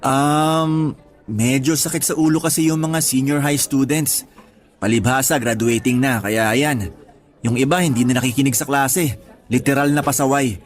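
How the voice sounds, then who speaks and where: A young male teacher played by a radio drama actor talks in a mid-pitched, casual voice. He is friendly and reassuring, teasing a little like a helpful colleague, over faint music. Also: steady tone, moderate pace, lightly acted. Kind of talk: conversational Tagalog radio drama acting.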